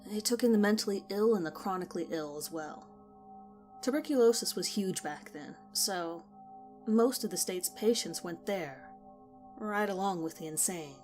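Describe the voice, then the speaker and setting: A woman's voice: medium pitch, moderate pace, a little mellow, close to an everyday voice. Woman playing a guarded teenage girl, music behind. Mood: matter-of-fact. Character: guarded, blunt, sharp-tongued teenage girl with a secret. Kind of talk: acted dialogue in horror audiobook.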